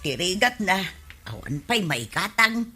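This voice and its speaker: Bright-voiced, worried villager. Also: female voice, lowish voice, big pitch swings, measured pace, faint music behind, exaggerated voice. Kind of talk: Filipino comedy radio drama acting.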